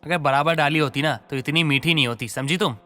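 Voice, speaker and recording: Man acting sharp-voiced irritable young husband. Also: a little high, fast, soft background music. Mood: annoyed, scolding. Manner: scolding, impatient. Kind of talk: dramatised Hindi moral story acting.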